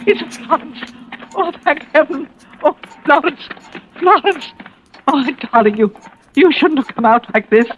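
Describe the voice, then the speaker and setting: A woman's voice: high-pitched, lively intonation, a little slow. A woman playing a protective, fretful grandmother in an old-time radio drama, tender and dramatic. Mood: relieved. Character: anxious, protective grandmother, loving but frantic. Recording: dull, noisy old radio recording.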